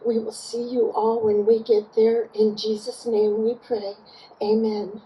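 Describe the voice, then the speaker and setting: A woman's voice: a little high, level tone, a little slow, warm tone, slight background noise, rough recording. A woman giving a personal, prayerful tribute at a memorial in simple, sincere phrases. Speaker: memorial speaker, cousin of the deceased. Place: outdoor garden gathering.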